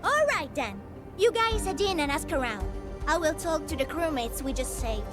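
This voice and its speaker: A cheerful young female guide from a video game, very high in pitch and swinging freely up and down. She is chatty, curious and informal, and music plays underneath. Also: expressive, moderate pace. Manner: bubbly, informal. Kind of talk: animated game voice acting. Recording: music playing behind the voice.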